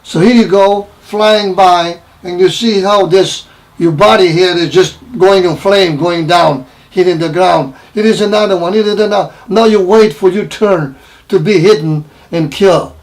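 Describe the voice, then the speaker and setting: Older Romanian man with halting English. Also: sits high, poor audio. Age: 70s. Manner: halting, repeats phrases, broken English grammar. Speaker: interviewee. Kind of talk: personal wartime recollection interview.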